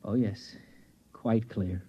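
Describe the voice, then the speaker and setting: An actor playing a nervous, exasperated space explorer in a 1950s radio drama, with wry narration and dialogue. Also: male voice, lowish voice, fairly even intonation, slow pace. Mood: nervous placating. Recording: dull vintage radio recording.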